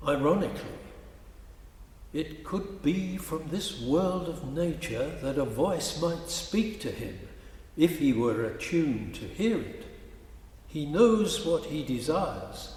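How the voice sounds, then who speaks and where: A man giving measured, literary scripted narration for a musical cantata. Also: on the high side, animated, a little mellow. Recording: echoey hall, distant from the mic.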